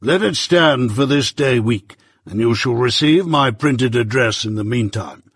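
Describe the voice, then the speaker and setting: A curt, commanding older lawyer, as a man puts him on, leans on each word with slow, clipped emphasis. The voice is husky. It is recorded close and dry. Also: medium pitch, fairly bright tone, dry recording.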